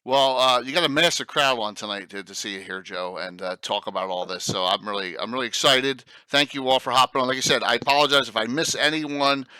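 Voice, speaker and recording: Philly-accented male host, bright and forward tone, dry close sound, rambling and eager. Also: mid-range voice, brisk, hardly any pauses, smooth, dry recording, poor audio. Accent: Philadelphia. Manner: rambling, eager, lots of fillers. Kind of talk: casual livestream chat.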